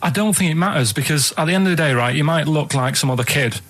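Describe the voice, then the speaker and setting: A man telling a casual, meandering anecdote on a radio comedy show, halting and repeating himself as he goes. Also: a little high, fairly bright tone.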